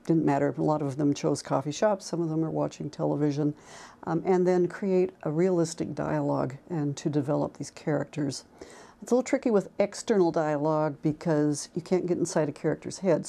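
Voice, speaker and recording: A woman speaking as a college instructor, talking informally and reflectively about her work, with halting pauses. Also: low voice, creaky, mellow. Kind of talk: informal talk to camera about teaching.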